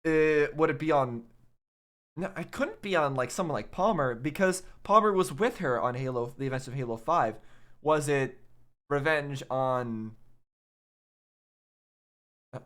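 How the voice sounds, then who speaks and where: A man hosting a reaction video, talking casually and thinking out loud in a rambling way. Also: on the high side, expressive, measured pace, long pauses.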